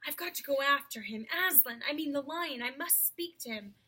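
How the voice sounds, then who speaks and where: A woman's voice: high voice, lively intonation, moderate pace, sharp tone. Woman as an urgent, anxious young boy. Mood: determined. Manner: urgent, pleading.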